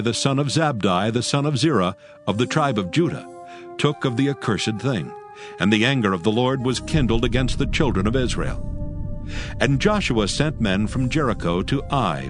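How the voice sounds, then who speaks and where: Low-voiced male scripture narrator. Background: music.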